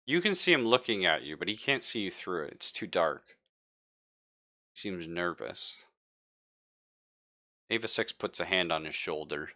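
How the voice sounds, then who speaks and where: A man's voice: low voice, sing-song, a little slow, pauses often, pushed and bright. A man acting as game master, narrating a live tabletop roleplaying scene in suspenseful second person.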